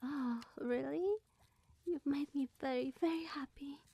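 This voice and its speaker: A woman playing a lonely, awkward immortal witch in an ASMR roleplay, speaking in a breathy, whispery, hesitant and coy way. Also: high-pitched, measured pace, mellow, dry recording. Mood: giddy, coy.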